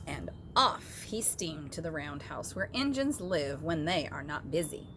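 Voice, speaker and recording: A woman reading a children's story aloud as an expressive storyteller. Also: low voice, measured pace, background noise.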